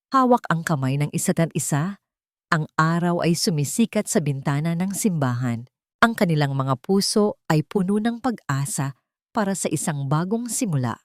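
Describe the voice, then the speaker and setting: A woman's voice: sits low, dry recording, studio quality. A woman reading a scripted love story as an audiobook narrator.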